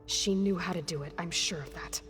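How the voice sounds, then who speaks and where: A woman's voice: lowish voice, fairly quick, a bit bright, big-room sound, soft background music, natural voice. A woman playing a determined young warrior leader in a game cutscene, earnest and commanding. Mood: serious, urgent. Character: determined young female warrior leading a rescue mission. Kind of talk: video game cutscene acting.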